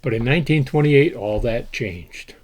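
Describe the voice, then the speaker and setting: A man telling the history of an old board game in a measured storytelling way, with an occasional "uh" as he goes. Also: mid-range voice. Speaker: board game collector presenting. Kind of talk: scripted history narration.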